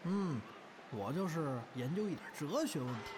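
An actor playing a playful young crosstalk comedian in an audio drama, teasing, exclamatory and mock-earnest. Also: male voice, a little high, big pitch swings, normal speed, background noise. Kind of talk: audio drama acting with stage patter.

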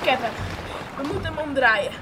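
A woman's voice: high voice, a little fast, close to an everyday voice. A woman playing a resolute young girl in a Dutch radio drama, giving urgent orders in short phrases over noisy water sounds. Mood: urgent, determined. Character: resolute young girl rescuing her sister from a flood.

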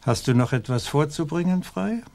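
An actor playing a stern, self-righteous colonial judge in a radio play, formally pronouncing judgment in a husky voice. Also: male voice, on the high side, expressive, moderate pace, a little mellow. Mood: stern, cold.

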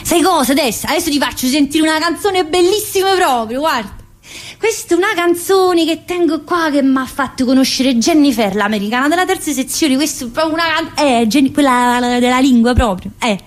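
An actress playing a chatty, wistful mother in prison, in a colloquial theatrical monologue with a Southern Italian, Neapolitan-tinged accent. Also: high-pitched. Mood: eager, chatty. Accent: Southern Italian, Neapolitan-influenced. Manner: colloquial, addressing listeners with frequent tag questions.

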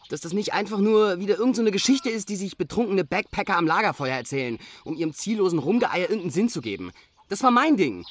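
Radio drama: irritable young male backpacker, high voice, animated intonation, colloquial and worried. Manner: agitated, colloquial.

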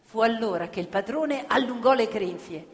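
An actress playing a proud, impassioned storyteller of women factory workers, emphatic and theatrical in a radio theatre piece. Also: female voice, fairly high pitch, animated, normal speed. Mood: recounting. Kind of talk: stage radio theatre narration in Italian. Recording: reverberant hall, loud live music behind. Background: loud live musical instruments.

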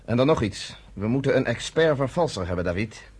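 A man's voice: mid-range voice, expressive, fairly quick, a little mellow, breathy edge. A man in his thirties playing a blunt, commanding underground leader in a radio play. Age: 30s.

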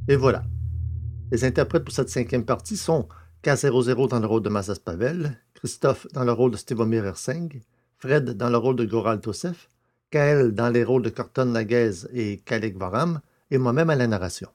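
A man's voice: medium pitch, normal speed, darkish tone. A man narrating a science-fiction audio drama from a script.

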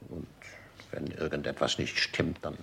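An actor playing an older, formal manservant in a German radio crime drama, deferential and deliberate, with a husky, gravelly voice. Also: male voice, extremely low pitch, steady tone, sharp tone, full character voice. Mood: shaken, deliberate. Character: dutiful, formal old-school manservant of a murdered gentleman.

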